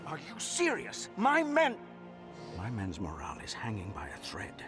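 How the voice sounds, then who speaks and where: An actor playing an exasperated, embattled barricade captain in a video game, weary and sardonic. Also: male voice, medium pitch, wildly swooping pitch, moderate pace. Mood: desperate, weary. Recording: loud music behind the voice. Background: game music.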